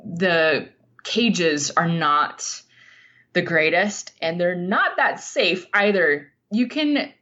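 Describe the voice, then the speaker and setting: Female interview guest, slightly low voice, unhurried and earnest, reflective storyteller, dull lo-fi sound. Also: pushed and bright. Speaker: podcast guest, writer.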